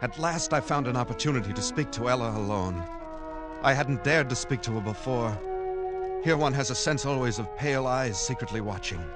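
An actor playing an earnest, anxious newcomer who keeps a secret journal, in formal old-time radio style. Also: male voice, medium pitch, fairly quick, close to an everyday voice. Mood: hushed, confiding. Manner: formal, literary, intense delivery. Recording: vintage radio broadcast with music.

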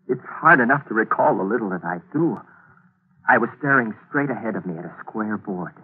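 A man's voice: lowish voice, slightly rough. An actor playing a haunted man who narrates his own grim confession in a 1940s radio drama. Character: haunted colorblind man recounting a killing. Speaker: narrator and protagonist. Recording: tinny old radio broadcast.

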